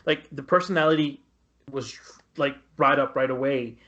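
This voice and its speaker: Halting man with mid-pitched voice, lo-fi audio. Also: bright, forceful tone. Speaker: podcast co-host. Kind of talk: casual film review chat. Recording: dull, lo-fi podcast audio.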